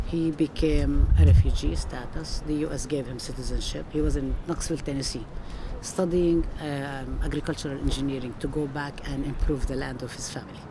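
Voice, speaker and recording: A woman from Palestine, a professor being interviewed, speaking earnestly and emphatically in conversation, with frequent restarts. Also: low voice. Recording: outdoor recording with street noise.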